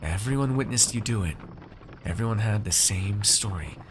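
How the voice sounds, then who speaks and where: A man's voice: lowish voice, lively intonation, normal speed, noisy background, lightly acted. A man playing a haughty, teasing, possessive noble master in an ASMR roleplay, with formal, drawling, condescending theatrical diction. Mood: menacing, teasing.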